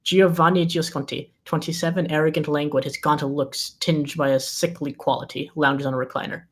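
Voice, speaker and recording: A man's voice: on the high side, steady tone, a little fast, a bit bright. A man reading scripted screenplay directions as the narrator of a table read.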